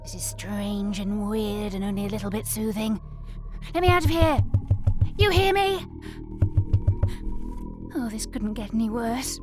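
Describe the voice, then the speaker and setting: A woman's voice: mid-range voice, unhurried, long pauses, music in the background, natural voice. A British woman playing a gloomy, sardonic recluse in an audio comedy drama, dry, withering and exasperated. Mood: indignant, exasperated.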